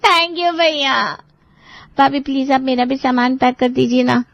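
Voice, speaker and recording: A woman's voice: sits high, level tone, measured pace, creaky, fairly bright tone, dry recording, lo-fi sound, heavily acted. A woman playing a spoiled young bride-to-be in a family drama, pleading and sweetly manipulative with her relatives. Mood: demanding, sweet. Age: young adult. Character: wheedling, spoiled young bride-to-be, pampered little sister. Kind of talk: Hindi family drama acting for story video.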